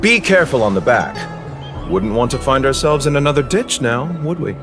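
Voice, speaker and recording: Gentle male game healer, acted by a man: mid-pitched, lilting, polite and modest, music underneath. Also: very animated, a little fast, natural voice. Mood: kindly advising. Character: gentle, courteous, self-effacing male travelling healer. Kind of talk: video game voice acting.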